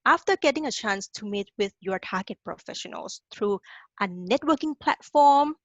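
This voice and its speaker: A woman's voice: on the high side, no echo, dull audio. A woman from Thailand giving a career webinar to international students, coaching them directly and often checking in with "okay?". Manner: encouraging, direct, tags lines with "okay?". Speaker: career advisor presenting a webinar.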